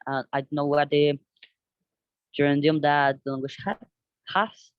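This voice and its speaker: A young man with a Colombian Spanish accent talks through his class podcast project, his voice sitting slightly high. He stumbles and restarts often, feeling for the right English words. The recording sounds dull and dry, close to the mic. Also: fairly even intonation, clean tone, dry recording. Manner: halting, searches for words, frequent restarts in hesitant English. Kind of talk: unscripted class presentation.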